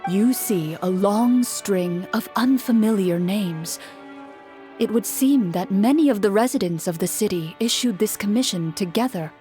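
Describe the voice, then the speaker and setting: A woman narrates a game story in a calm, measured way, addressing the listener directly. Her voice sits at a medium pitch, with faint music underneath. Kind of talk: scripted second-person story narration.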